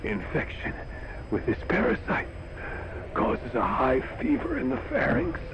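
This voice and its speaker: Slow, swooping, grim infected scientist, muffled hissy tape. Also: male voice, high-pitched, huge pitch swings, creaky, full character voice. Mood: grave. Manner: slow, grave, halting. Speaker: infected man giving warning. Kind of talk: game audio log acting. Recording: muffled noisy cassette tape.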